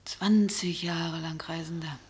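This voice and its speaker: An actress playing an ageing, melancholy waitress in a poetic radio play, wistful and sceptical in manner. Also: female voice, low voice, fairly even intonation, unhurried, a little mellow, breathy edge, exaggerated voice.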